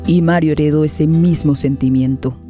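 A woman giving sombre scripted narration for a radio play with measured, deliberate phrasing. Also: low voice, fairly even intonation, warm tone, faint music behind.

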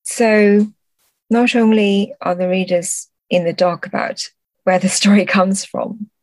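A woman's voice: medium pitch, fairly even intonation, a little slow, a little mellow, dry recording. A woman answering as a podcast guest in a thoughtful conversational way, often searching for words and correcting herself. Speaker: podcast guest, translator and book editor.

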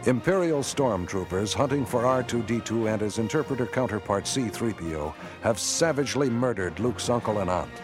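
A man narrating an epic space saga for a radio drama in a measured, solemn storytelling style. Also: on the low side, expressive, music in the background, natural voice. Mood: solemn, grave.

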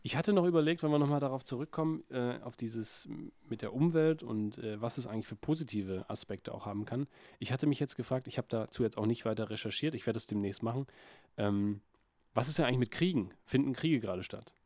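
A man's voice: mid-range voice, fast, darkish tone. A man from Berlin trades jokes in a loose, ironic chat, sliding from playful teasing into mock-solemn speeches. His mid-range voice sits close to the mic with no room echo at all. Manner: ironic, playful, fond of mock-serious rants. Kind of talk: joking casual chat.

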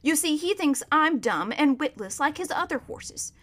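A woman's voice: high-pitched, expressive, moderate pace, fairly bright tone, pitched above the performer's own voice. A woman reading a storybook as a proud, bossy but kindly male war horse, with an emphatic, lecturing manner. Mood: assured. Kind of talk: children's storybook character reading.

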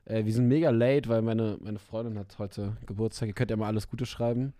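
Young man, podcast co-host, slightly hoarse, rambling slangy chat, dry close sound. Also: on the low side, fairly even intonation, a little fast, dark tone, dry recording. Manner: slangy, rambling, self-interrupting. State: slightly ill, a bit hoarse. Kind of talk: casual chat.

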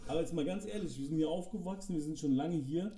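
A young man co-hosting a casual podcast chat, talking in slangy, relaxed street speech and joking around. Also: a little high, fast talker, far from the mic. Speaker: podcast co-host. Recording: echoey room, set back from the mic.

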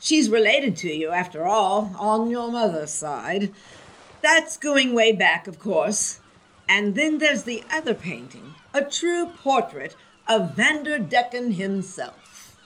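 An actress playing a cantankerous older aunt who scolds brusquely in a gothic comedy audio drama. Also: female voice, mid-range voice, very animated, slow, fairly bright tone, low-quality recording. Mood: brusque, dismissive.

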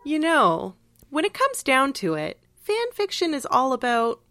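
A woman's voice: high-pitched, huge pitch swings, a little slow, pushed and bright, no room sound, studio quality, faint music behind. A woman co-hosting a podcast in casual analytical discussion, emphatic and reflective, sprinkling in "like" as she thinks aloud. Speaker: podcast co-host.

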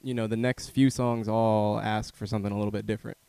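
A male interview host with a somewhat low, smooth and resonant voice, recorded dry and close. He talks in a relaxed, affirming way, sprinkling in "like" and "man". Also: quick pace, dry recording. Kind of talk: casual interview.

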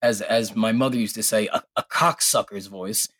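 A man hosting a podcast in casual, profane banter, his voice hoarse and a little raspy, often laughing. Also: on the low side, fairly even intonation, moderate pace, fairly bright tone, no room sound, studio quality. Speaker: podcast host.

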